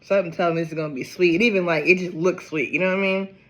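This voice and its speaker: A man from Ohio chatting casually to camera in a gardening vlog, rambling and low on energy, often breaking into excited exclamations. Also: very fast. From Ohio, USA. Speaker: gardening vlogger.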